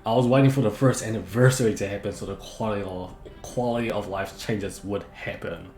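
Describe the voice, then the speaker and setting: A man's voice: on the low side, a little fast, reverberant, faint music behind. A man chatting casually on a live game stream, rambling and correcting himself, with a sore throat and a droning voice. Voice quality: monotonous, droning.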